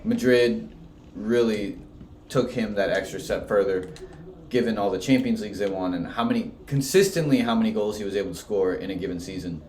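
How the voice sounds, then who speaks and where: Low-voiced young man in an echoey room. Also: a little fast, darkish tone, slight background noise. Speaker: podcast co-host. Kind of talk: casual sports chat.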